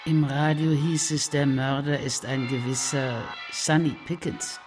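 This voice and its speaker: A man's voice: medium pitch, fairly even intonation, a little slow, over music, close to an everyday voice. An actor playing a wary bar man in a radio crime drama, evasive and appeasing in his speech. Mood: probing. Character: wary, pleading bar man evading a detective.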